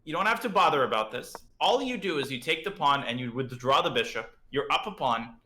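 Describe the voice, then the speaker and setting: Man, slightly parched throat, bright forceful tone, echoey room, chatty chess teaching. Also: mid-range voice, animated, brisk. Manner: chatty, explains moves while thinking aloud. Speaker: chess streamer teaching a guest. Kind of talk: casual live-stream chess lesson.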